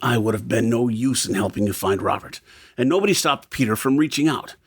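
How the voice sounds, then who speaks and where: A dry, close male voice at a middling pitch. He plays an earnest, secretive man who explains things carefully in an audio drama. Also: expressive, a little fast, breathy edge, no room sound. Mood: defensive.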